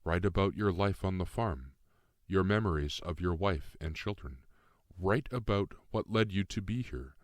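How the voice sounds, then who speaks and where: A man performs a cryptic male spirit from an audiobook tale. The voice is deep and composed, with formal, measured explanations. It sits very dry, with no room around it. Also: dry recording, natural voice. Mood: earnest. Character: calm, cryptic shape-shifting guide spirit in male form. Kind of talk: audiobook character dialogue.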